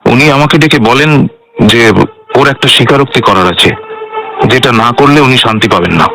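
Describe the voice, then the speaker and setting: A man's voice: medium pitch, moderate pace, creaky, sharp tone. A man acting an earnest, forgiving bereaved son in a radio drama, explaining himself emphatically.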